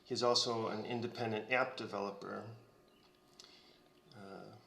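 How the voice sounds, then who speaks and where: Slow, gravelly man in an echoey room. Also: fairly low pitch, bright, forceful tone, a little hiss or hum. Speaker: host of the Sunday talk. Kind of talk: introducing the guest speaker.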